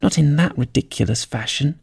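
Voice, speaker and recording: A small sulky dormouse acted by a man, very slow and drowsy in a raised pitch. It grumbles along like a sleepy storybook animal, recorded dry. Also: vocal fry, a little mellow, breathy edge, no echo. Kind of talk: children's storybook character voice.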